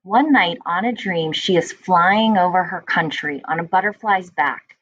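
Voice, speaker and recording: A woman reading a children's storybook aloud as a teacher, with expressive storytelling. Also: on the low side, a little slow, highs cut off, a little hiss or hum.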